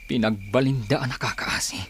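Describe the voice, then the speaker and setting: A man's voice: mid-range voice, fairly quick, fairly bright tone, a little hiss or hum, lightly acted. An actor playing an aggrieved young man bent on revenge in an audio drama. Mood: bitter, anguished. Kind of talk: Filipino radio drama acting.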